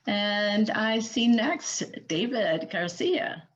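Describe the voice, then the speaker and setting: A woman's voice: medium pitch, expressive, lo-fi sound. A woman from Ethiopia, a native Amharic speaker, moderating a panel over a video call, with frequent fillers and restarts. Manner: halting, many fillers and restarts.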